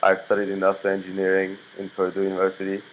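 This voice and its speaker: Low, flat-voiced man from Turkey. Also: fast talker, lo-fi sound, a little hiss or hum. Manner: plain, steady delivery with frequent "uh" and restarts. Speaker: student. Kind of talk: class video introduction.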